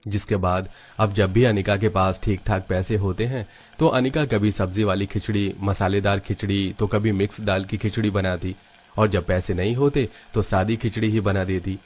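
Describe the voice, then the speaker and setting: A man's voice: fairly low pitch, steady tone, normal speed. A man narrating a Hindi cartoon story in a scripted storytelling style.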